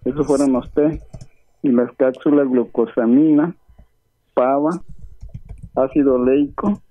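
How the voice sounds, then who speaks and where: A man's voice: mid-range voice, steady tone, a little slow, no echo. Man in his fifties on a phone line. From Chiapas, Mexico. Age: 50s. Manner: polite, methodically listing his treatment and symptoms. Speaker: caller.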